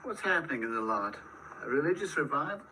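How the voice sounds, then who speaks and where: Shopkeeper, chatty, inquisitive, medium pitch, radio drama, noisy recording. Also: sing-song, fast, sharp tone. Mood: chatty, curious.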